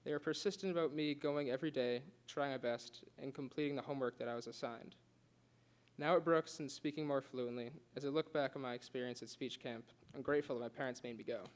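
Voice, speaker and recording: A man's voice: medium pitch, steady tone, fast. A young man giving a prepared class speech, earnest, with occasional stutters and restarts. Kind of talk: prepared speech read aloud.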